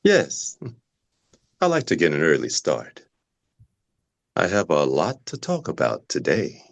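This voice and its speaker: Man acting a very deep, slow, brooding patient. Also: huge pitch swings, no echo, heavily acted. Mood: polite, reserved. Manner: halting, deliberate, with pauses. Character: brooding, unsettling male patient, slow and probing. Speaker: actor in a script table read. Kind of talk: dramatic script reading.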